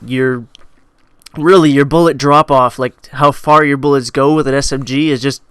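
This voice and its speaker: A man's voice: medium pitch, fairly bright tone, clean tone, dry recording, studio quality. A young man hosting a gaming channel, coming down with a cold, casually reviewing a game over gameplay and rambling with lots of restarts. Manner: rambling with many likes and restarts.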